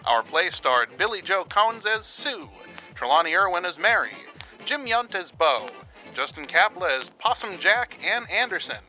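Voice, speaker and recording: A man announces the closing credits of an audio drama, his voice bright and lively. Faint music plays under him. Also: high voice, normal speed, low-quality recording. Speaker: show announcer.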